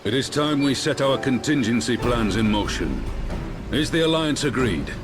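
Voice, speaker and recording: An actor playing a stern military leader in a fantasy game, with a decisive, authoritative and formal delivery. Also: male voice, mid-range voice, lively intonation, moderate pace, music in the background, close to an everyday voice. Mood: resolute. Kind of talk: fantasy game cutscene acting.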